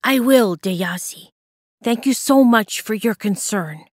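A woman's voice: on the high side, slow, no echo, clean studio sound. A woman voicing a brave, polite young boy explorer in a children's story, with an earnest, eager manner. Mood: friendly, confident. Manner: bright, earnest, courteous.

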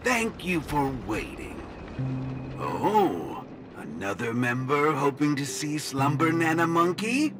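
Polite creepy-cryptic robot monkey guide, game voice, super singsong and slow, music behind. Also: mid-range voice, big pitch swings. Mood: polite, mysterious. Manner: theatrical, drawn-out, courteous. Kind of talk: video game voice acting.

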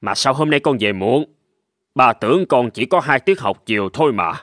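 A man's voice: mid-range voice, lively intonation, moderate pace, a bit bright, dry recording, studio quality, natural voice. Firm, questioning cartoon dad, voiced by a man. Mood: suspicious. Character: burly, suspicious middle-aged father. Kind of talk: animated cartoon dubbing.

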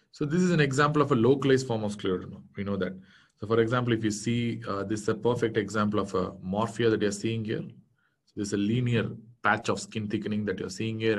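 A man in his thirties with an Indian English accent, a native Tamil speaker, giving a casual online medical lecture with many fillers and restarts. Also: on the low side. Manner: explanatory, conversational, many fillers and self-corrections. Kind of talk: online exam-prep lecture. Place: small indoor room.

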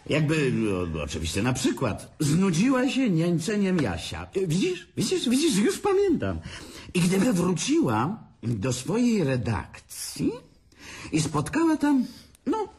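An actor playing a sarcastic, bitter, tipsy divorced man who needles his brother haltingly in a radio drama. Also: high voice, wildly swooping pitch, a little mellow, husky, heavily acted. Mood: mocking, taunting. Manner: sarcastic, taunting, chuckling, halting. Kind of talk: dramatic radio play acting.

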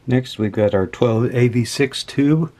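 A man casually talking through a hands-on repair as host, unhurried and dryly humorous. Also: medium pitch, dark tone. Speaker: host of an electronics repair video. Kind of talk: casual hands-on demonstration commentary. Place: indoor workbench. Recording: clear background noise.

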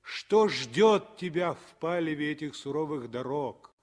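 A man narrating a 1960s radio drama as a lyrical, expressive storyteller with measured phrasing. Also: on the high side. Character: lyrical male storyteller of a civil war tale.

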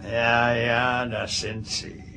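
An actor playing a very old, cantankerous but sentimental admiral in a German sci-fi audio drama, with swooping, teasing emphasis. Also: male voice, mid-range voice, level tone, drawn-out words, sharp tone, faint music behind, heavily acted. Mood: crotchety. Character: cantankerous, sentimental 137-year-old male starfleet admiral.

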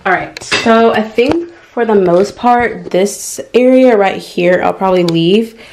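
A woman's voice: mid-range voice, slow pace, darkish tone. A young American woman chatting casually for a vlog, thinking aloud in an informal way as she goes. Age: young adult. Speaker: lifestyle vlogger. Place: small tiled bathroom, indoors. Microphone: camera mic at arm's length or propped nearby. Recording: echoey bathroom, clear household clatter noise.

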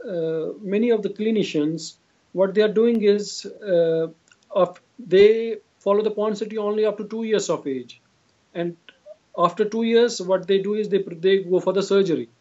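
A man's voice: high-pitched, a little mellow. A man in his forties with an Indian accent, explaining his work in an interview and often repeating himself. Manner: repetitive, often says "you know". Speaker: clinician being interviewed. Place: indoor room, video call. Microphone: video call device at arm's length. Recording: dull, lo-fi video call audio.

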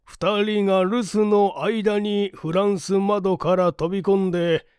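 A man reading a mystery story as a narrator, in a measured, polite and formal delivery. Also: sits high, flat delivery, darkish tone, smooth, dry recording, clean studio sound. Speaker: audiobook narrator, stage actor. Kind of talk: dramatized literary reading, mystery novel.

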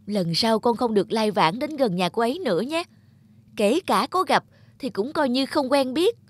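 Casting: a cartoon mother, high voice, northern Vietnamese accent, anxious, strict yet loving, dry sound with music behind. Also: lively intonation, moderate pace, breathy edge, dry recording. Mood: stern. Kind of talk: animated moral tale dubbing.

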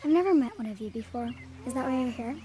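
A woman's voice: a little high, animated, fast talker, a crowd in the background, lightly acted. A female performer playing a blunt, nosy ten-year-old country girl in a radio drama, full of questions. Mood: curious, blunt.

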